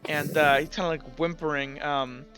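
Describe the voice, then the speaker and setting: A man improvising the narration of a tabletop roleplay as game master, in a halting way. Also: fairly high pitch, fairly even intonation, bright, forceful tone, faint music behind.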